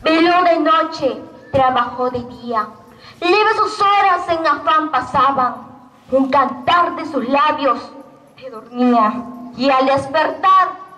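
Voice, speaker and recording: A young female student reciting poetry in a dramatic, emphatic, drawn-out declamation style. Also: high voice, sharp tone. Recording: echoey venue.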